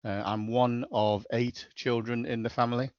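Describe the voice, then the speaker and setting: A man's voice: medium pitch, little pitch movement, moderate pace, a little mellow, no room sound, highs cut off. A middle-aged man with a Liverpool accent, a podcast guest talking in a level, matter-of-fact way with many hesitations. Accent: Liverpool (Scouse). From Liverpool, England. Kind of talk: casual interview chat.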